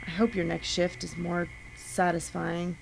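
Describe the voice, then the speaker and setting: Low, creaky, gloating killer. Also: female voice, little pitch movement, background noise. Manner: deliberate, mocking, menacing. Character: cold, gloating serial killer on taped message. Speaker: voice actor. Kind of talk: crime audio drama monologue.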